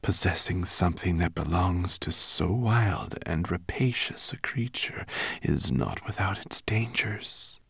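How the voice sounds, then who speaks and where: A man voicing a courteous, ominous female sea serpent in an audiobook, with a slow, hissing, whispery delivery. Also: low-pitched, gravelly, a bit bright, husky, no echo, clean studio sound, heavily acted. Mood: courtly caution. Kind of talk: audiobook character acting.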